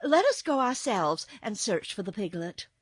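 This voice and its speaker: A woman's voice: mid-range voice, very animated, normal speed, breathy edge, no room sound, clean studio sound. A woman voicing an earnest, emotional little girl in a storybook, anxious, pleading and scolding. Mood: anxious, distressed. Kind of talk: storybook character voice.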